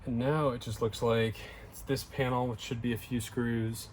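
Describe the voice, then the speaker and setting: A man's voice: medium pitch, little pitch movement, moderate pace, background noise. A man casually narrating a hands-on DIY job as he works, thinking aloud in a tentative way. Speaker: DIY video host.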